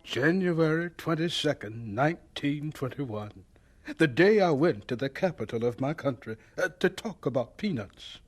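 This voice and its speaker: High, husky, eager old scientist. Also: male voice, animated, faint music behind. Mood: reflective. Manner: hesitant, halting, courteous, earnest. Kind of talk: audio drama acting.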